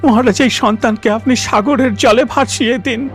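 A man's voice: very high voice, steady tone, brisk, soft background music. A man voicing a grave royal priest in a cartoon fairy tale, speaking formally with solemn foreboding. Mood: solemn urging. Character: grave royal priest and astrologer of a magical kingdom.

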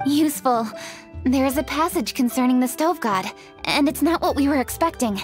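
An actress playing a composed, polite young noblewoman official in a video game, speaking formally and crisply. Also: high voice, moderate pace, close to an everyday voice. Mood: polite, businesslike. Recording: game music behind the voice.

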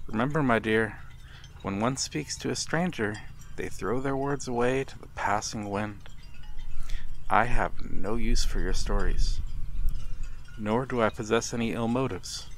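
A man playing a wistful, courteous vampire in a gentle, formal ASMR roleplay. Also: lowish voice, a little hiss or hum. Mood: wistful, reassuring. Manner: gentle, formal, halting with soft chuckles and sighs. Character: wistful, courteous old-world male vampire stranger by a harbour. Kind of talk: ASMR audio roleplay, slow intimate monologue.

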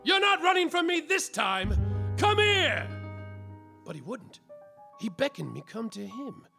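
An actor playing a world-weary, tipsy private detective in a slapstick noir radio play, with melodramatic hard-boiled narration. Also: male voice, very high-pitched, wildly swooping pitch, unhurried, creaky, pushed and bright, soft background music, exaggerated voice. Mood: dramatic, excited.

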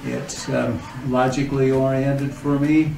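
Older man, sincere measured testimonial. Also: mid-range voice, little pitch movement, gravelly, a little mellow, reverberant, distant mic. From California. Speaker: student, retired dentist. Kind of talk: testimonial interview.

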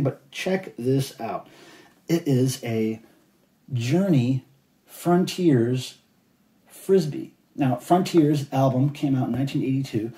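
A chatty man rambles with enthusiasm about his latest collecting finds. His voice is mellow and relaxed, at a middle pitch. The room around him rings with noticeable echo. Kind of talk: casual show-and-tell vlog. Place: indoors at a table of cassettes.

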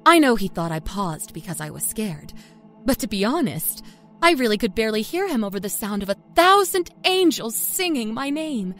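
A woman playing a self-satisfied small-town liar from Kentucky, telling her dark story in a folksy, confiding way. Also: a little high, very animated, moderate pace. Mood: sly, gleeful. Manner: folksy, chatty, smugly confiding. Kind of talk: first-person dark storytelling. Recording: music under the narration.

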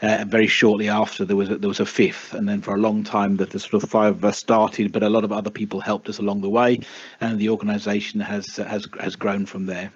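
Halting middle-aged British man, lo-fi. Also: fairly low pitch, flat delivery, slightly rough. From United Kingdom. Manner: halting, many restarts and fillers. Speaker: spokesman of an advocacy group. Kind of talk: Q&A answers over voice chat. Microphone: voice chat mic. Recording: dull lo-fi voice chat.

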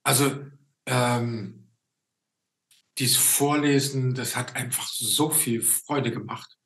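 Male host, sixties, bright forceful tone, halting conversational delivery, echoing room on a video call. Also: medium pitch. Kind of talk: casual video-call interview.

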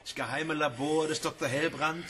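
An actor in a radio play playing a calm, condescending male doctor who speaks soothingly. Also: on the high side, normal speed, noisy background, close to an everyday voice. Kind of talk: German radio play acting.

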